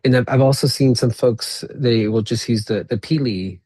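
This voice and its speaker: A man from Peru whose first language is Spanish, hosting a podcast interview. He talks in a reflective, halting way, restarting phrases and paraphrasing his guest back to her. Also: medium pitch, darkish tone, no room sound. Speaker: podcast host. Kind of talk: conversational interview.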